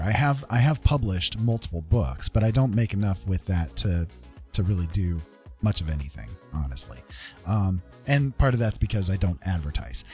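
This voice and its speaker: Low-voiced man chatting candidly on a stream. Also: darkish tone. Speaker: livestream host. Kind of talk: casual, candid livestream chat.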